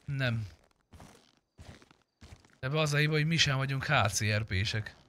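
A man's voice: mid-range voice, fairly bright tone. A man in casual gaming chat, talking bluntly and sarcastically and swearing freely. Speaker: gamer streaming a roleplay game.

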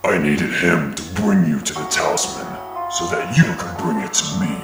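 A man playing a pompous, menacing demon king, his gravelly voice artificially pitched down. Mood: triumphant. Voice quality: deep, gravelly. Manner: slow, pompous villain gloating. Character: menacing hooded demon prison king, gloating and easily offended. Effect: artificial deep-voice pitch shift. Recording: echoing, distant, loud music behind.

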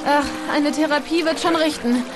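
An actress playing a plucky young female reporter in a video game dub, feisty, wry and quick-witted. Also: high-pitched, steady tone, music in the background, lightly acted. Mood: wry, teasing. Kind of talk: German video game dub, cinematic dialogue.

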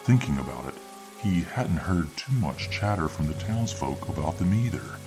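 Extremely deep-voiced male storyteller. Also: animated, poor audio. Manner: slow, low, deliberate. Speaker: ASMR storyteller. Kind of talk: scripted audio fiction narration. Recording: narration over music and rain sounds.

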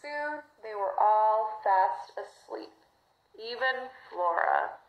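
A woman narrating a children's read-along story in an expressive, animated storytelling manner, replayed through a phone speaker. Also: on the high side, slow, echoey room, a little hiss or hum, poor audio.

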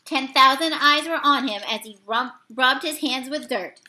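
A woman with a high, bright voice reads verse aloud from a picture book. Her delivery is halting, with the odd misreading that she goes back to fix. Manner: halting, with misreadings and self-corrections. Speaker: read-aloud reader. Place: small indoor room.

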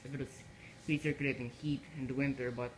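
A man vlogging indoors about a ceiling fan, holding a phone camera, talking in a halting way with frequent restarts. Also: medium pitch, level tone, noisy background. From Philippines. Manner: halting, repeats words and restarts often. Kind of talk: casual filmed commentary. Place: indoors in a house kitchen. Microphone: handheld phone camera.

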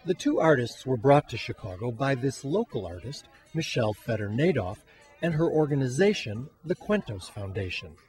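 A man narrating a TV news feature as producer and reporter, in a measured scripted delivery. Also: mid-range voice, very animated, a little mellow.

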